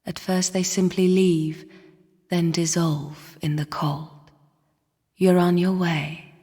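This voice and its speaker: An actress playing a bleak, bitter female spirit in a video game, speaking in a breathy, husky whisper with a hushed, cryptic, scornful manner. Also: on the low side, level tone, slow pace, heavily acted. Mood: ominous. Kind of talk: video game character monologue. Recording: long reverberant hall echo.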